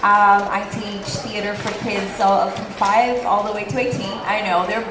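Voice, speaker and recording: A woman's voice: mid-range voice, little pitch movement, normal speed. A young woman whose first language is Portuguese, hosting a convention panel in an earnest, chatty way with frequent ums. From Brazil. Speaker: convention panel host, theater teacher. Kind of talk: casual panel talk. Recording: echoey room, background noise.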